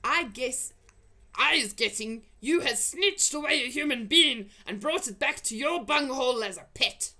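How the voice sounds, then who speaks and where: A huge, bullying giant bellows his way through a storybook, as acted out by a woman reader. His voice is loud, bright and pushed up high, forceful and menacing, with clumsy broken grammar. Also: expressive, measured pace, exaggerated voice. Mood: suspicious, menacing. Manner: bellowing insults in broken, made-up-word grammar. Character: huge brutish man-eating giant, bullying and booming.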